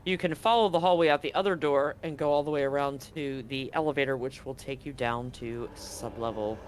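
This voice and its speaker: A man narrating as game master of a tabletop horror game in a relaxed, chatty way. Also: mid-range voice, fairly quick, a little hiss or hum. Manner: relaxed, chatty, hums sound effects.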